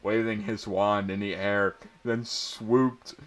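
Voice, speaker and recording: A man reads a story aloud at a slow, unhurried pace in an amateur, mock-dramatic style. He stumbles over words and is easily tipped into amusement by the text. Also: on the low side, steady tone. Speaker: amateur reader. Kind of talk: mock-dramatic reading of fan fiction.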